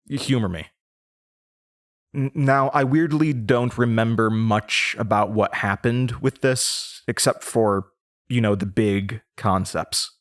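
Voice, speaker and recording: A man playing a nervous young man from a small Midwestern town, recounting his past in a halting, conversational audio drama monologue. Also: medium pitch, dry recording, clean studio sound, close to an everyday voice. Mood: uneasy, wry. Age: young adult. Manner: hesitant, stammering, rambling. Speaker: audio drama lead character.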